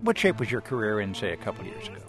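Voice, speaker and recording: A man's voice: fairly low pitch, sing-song, racing, loud music behind the voice. A man hosting a broadcast interview, asking brief, courteous questions. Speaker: radio interviewer, host.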